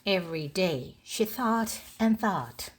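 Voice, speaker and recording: Slow, expressive woman reading a fairy tale. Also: mid-range voice, slightly rough. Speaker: storybook narrator. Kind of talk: slow read-aloud storytelling for language learners.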